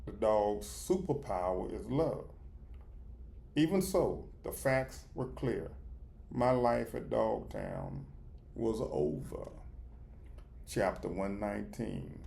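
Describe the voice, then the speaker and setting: Slow, gravelly man reading a storybook. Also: lowish voice, darkish tone. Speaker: audiobook reader. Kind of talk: scripted storybook narration.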